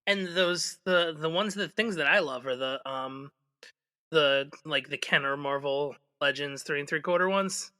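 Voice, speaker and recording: A man's voice: fairly high pitch, a little slow, pushed and bright. A man co-hosting a podcast in casual conversation. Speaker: podcast co-host. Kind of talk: casual chat.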